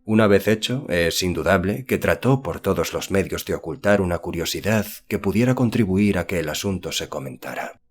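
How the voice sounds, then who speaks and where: A man reading a horror novel as an audiobook narrator, in a measured, formal storytelling manner. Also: deep voice, animated.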